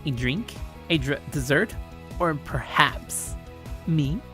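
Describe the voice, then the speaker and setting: A man's voice: on the high side, lively intonation, slow pace, bright, forceful tone, faint music behind, full character voice. A man voicing a tall, confident tomboy girl who teases playfully in story dialogue. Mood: playful teasing. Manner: breezy, teasing.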